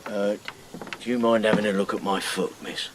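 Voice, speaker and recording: An actor playing a shy, rough, working-class boatman who stammers and speaks deferentially. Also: lowish voice, fairly even intonation, moderate pace, noisy background, close to an everyday voice. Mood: bashful. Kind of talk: radio drama acting.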